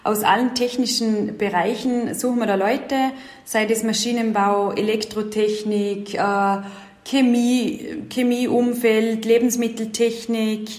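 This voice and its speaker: A woman's voice: a little high, little pitch movement, measured pace, a little mellow. A young adult woman speaking as an event and project manager in an interview, explaining things eagerly and leaning on filler words. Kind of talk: casual interview talk. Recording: roomy echo.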